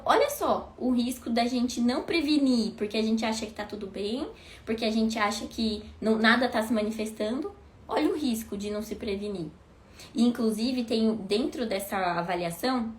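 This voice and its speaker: 30s woman chatting to her viewers, high-ish voice, asks stuff then answers it, echoey room. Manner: conversational, asks rhetorical questions. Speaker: host. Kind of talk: casual talk to viewers. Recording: echoey room with faint noise.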